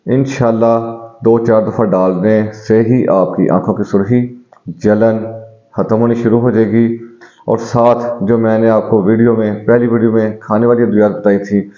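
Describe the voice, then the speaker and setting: Calm, deep-toned male doctor explaining remedies. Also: normal speed. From Pakistan. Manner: calm, explanatory, addresses viewers as friends. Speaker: homeopathic doctor. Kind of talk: health advice video to viewers. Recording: dull, echoey room recording.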